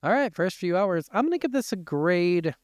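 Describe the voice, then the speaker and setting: A man's voice: high-pitched, very animated, moderate pace, darkish tone, no room sound, clean studio sound. A man co-hosting a podcast, talking casually and profanely about video games. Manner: informal, freely profane. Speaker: podcast co-host. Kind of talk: casual video game discussion.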